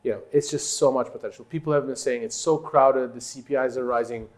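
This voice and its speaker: A man from Turkey, a native Turkish speaker, giving conversational interview answers as a company executive, in halting phrases with emphatic points. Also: mid-range voice, fairly even intonation.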